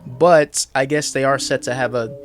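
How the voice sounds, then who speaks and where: A man's voice: medium pitch, fairly even intonation, normal speed. A man giving casual, slangy, conversational commentary in an informal, mock-incredulous way. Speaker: YouTube commentator. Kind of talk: casual conversational horror explainer narration. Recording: loud music bed under voice. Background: loud background music.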